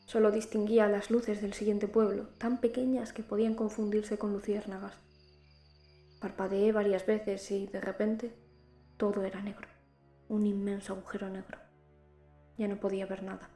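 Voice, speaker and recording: A woman's voice: medium pitch, flat delivery, moderate pace, warm tone, echoey room, faint music behind, natural voice. A young woman playing a lonely, frightened teenage girl who narrates her ordeal in an emotive audio drama. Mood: hushed, uneasy. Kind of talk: dramatic first-person audio fiction narration.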